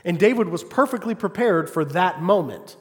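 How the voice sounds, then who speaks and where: A man preaching a sermon, calling for "amen" from the congregation and swinging between loud, fervent passages and quiet asides. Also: sits high, lively intonation, normal speed. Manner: call-and-response, asks congregation "Amen?". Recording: long hall reverb.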